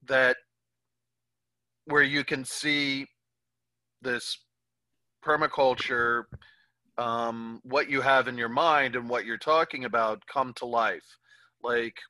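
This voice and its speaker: A man's voice: medium pitch, pushed and bright, dry recording, rough recording. A man hosting a casual interview, asking loose, rambling questions and often affirming with short murmurs. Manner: frequent short backchannel affirmations. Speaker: podcast host.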